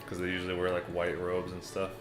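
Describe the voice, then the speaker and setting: A man's voice: low voice, completely flat delivery, fast. A young man from the United States hosting a casual livestream, reading chat messages aloud in a laid-back, rambling way and often calling people "man" and "dude.". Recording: roomy echo, classical music playing behind.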